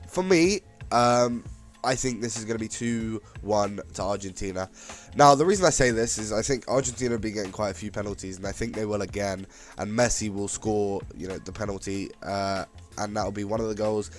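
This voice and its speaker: A man with a slightly raspy voice from being ill, chatting casually about football predictions with lots of fillers and restarts. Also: fairly low pitch. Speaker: football channel host. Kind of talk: casual predictions talk to camera.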